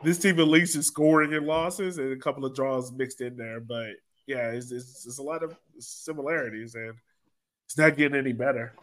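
A man's voice: mid-range voice, steady tone, normal speed, a bit bright. A man hosting a sports podcast, chatting in an informal, colloquial way. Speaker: podcast host. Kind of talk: casual sports podcast chat.

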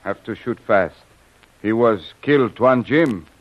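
An actor playing a loyal, solemn manservant who speaks earnest, terse broken English in an old radio serial. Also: male voice, lowish voice, expressive, measured pace. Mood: grave. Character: loyal, solemn native manservant and sharpshooter, adult male. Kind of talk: 1930s radio serial acting. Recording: muffled vintage radio recording.

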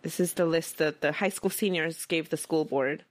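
Podcast host, woman, low register, conversational, reads quotes aloud, dry sound. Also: moderate pace, dry recording. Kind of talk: casual history podcast storytelling.

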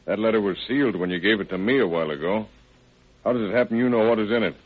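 An actor playing a brisk, sceptical deputy with short, blunt remarks in an old radio crime drama. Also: male voice, on the low side, breathy edge. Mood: sceptical, businesslike. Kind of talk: 1940s-50s radio crime drama acting. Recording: tinny band-limited old radio recording.

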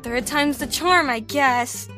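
A woman's voice: high voice, slow, bright, forceful tone, soft background music. A woman with an earnest, somewhat hesitant manner, playing herself as a guest in an improvised audio adventure for children. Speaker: guest co-star.

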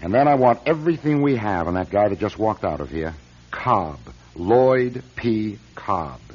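An actor playing a shrewd, guarded car company chief in a radio drama, speaking with authority and probing. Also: male voice, lowish voice, lively intonation, a little slow, a little mellow, breathy edge. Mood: brisk commanding. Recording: dull, muffled radio drama recording.

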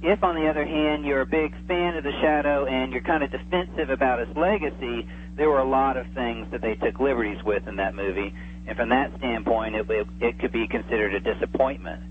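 A man's voice: medium pitch, brisk. A man chatting casually as an interview guest over the telephone, in a hesitant, stammering manner. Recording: thin phone line with hum.

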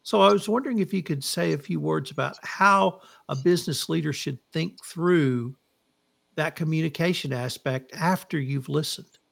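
A man's voice: a little high, normal speed, vocal fry, fairly bright tone. An American man hosting an interview, setting up long, thoughtful questions before asking them. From United States. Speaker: interview host.

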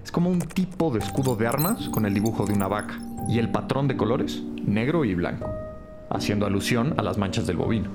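Methodical male lab analyst, loud music. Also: lowish voice, expressive, a little fast. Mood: pensive. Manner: thinking aloud, explanatory. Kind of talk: scripted audio drama acting. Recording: loud music bed behind voice.

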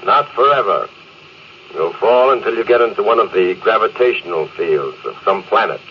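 A man's voice: lowish voice, expressive, normal speed. A man playing a stern, stoic spaceship captain in an old-time radio drama, terse and authoritative. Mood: grim, controlled. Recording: muffled, hissy vintage radio recording.

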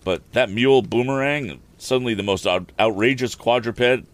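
A man's voice: medium pitch, moderate pace, pitched above the performer's own voice, natural voice. A man voicing a rustic older handyman who drives a mule, long-winded and deferential. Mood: folksy, deferential.

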